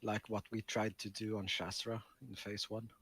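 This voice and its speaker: A man's voice: lowish voice, flat delivery, normal speed, a bit bright, slightly rough, no echo. A man giving casual gameplay advice to a fellow player.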